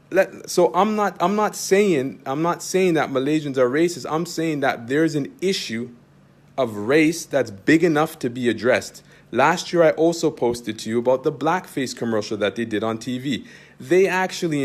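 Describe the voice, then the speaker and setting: Canadian man, teacher type, emphatic monologue, keeps asking "you understand?", voice sits a bit high. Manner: emphatic, repetitive, rhetorical questions. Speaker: Islamic teacher. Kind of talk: direct-address monologue to followers.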